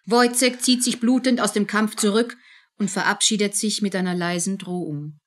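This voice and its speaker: A woman's voice: mid-range voice, fairly even intonation, normal speed. A woman narrates a radio drama. She reads from a script, setting out each scene as the storyteller.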